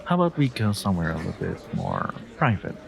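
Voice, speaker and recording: Man playing a deep-voiced, flirty charming stranger. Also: wildly swooping pitch, gravelly, full character voice. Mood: inviting. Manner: teasing, hesitant pauses, frequent soft chuckles. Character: charming flirty male stranger at a party, teasing and suave. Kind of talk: intimate roleplay audio acting.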